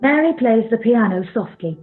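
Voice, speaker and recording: A woman's voice: a little high, lively intonation, moderate pace. A woman narrating a radio play, reading out scripted stage directions over a thin, phone-like connection. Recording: thin phone-like sound, faint music.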